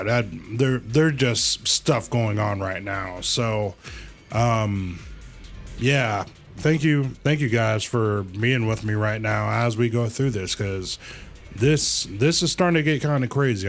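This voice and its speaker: Slow, low-voiced man streaming a game. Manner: halting, repeats phrases while thinking aloud. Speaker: livestreamer playing a game. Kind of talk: casual live game commentary, reading text aloud.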